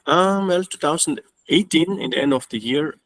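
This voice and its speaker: A man's voice: fairly high pitch, expressive, moderate pace, fairly bright tone. A man, speaking as a guest in a casual interview, hesitant with frequent restarts. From Austria. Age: 30s. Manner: hesitant, restarts often, non-native phrasing. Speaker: guest trading student.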